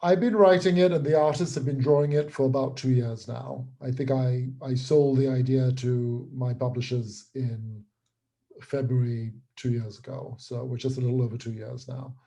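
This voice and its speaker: A man's voice: medium pitch, steady tone, normal speed, warm tone. A man talking casually as an interview guest and creator, explaining things with repeated, restarted words.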